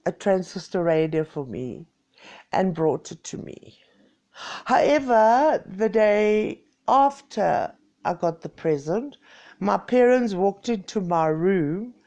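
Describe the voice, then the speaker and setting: A woman's voice: mid-range voice, dark tone. A woman in her sixties with a Greek South African accent, chatting playfully and mocking herself on a home live stream. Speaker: vlogger. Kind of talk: casual live-stream chat.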